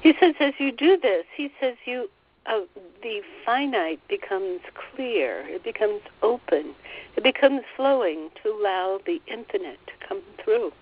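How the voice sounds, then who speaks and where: A woman leading a guided meditation, relaying a guide's words in a gentle, soothing way and often repeating phrases. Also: mid-range voice, animated, measured pace, dull audio, a little hiss or hum. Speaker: spiritual teacher leading a meditation.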